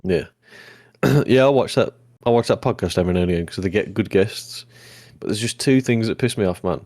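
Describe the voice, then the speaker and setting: A man, co-hosting a casual podcast chat, easygoing and swearing freely, with a deep voice that turns mumbly when relaxed. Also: no echo. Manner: easygoing, swears casually, quick agreeing "yeah"s. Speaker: podcast co-host.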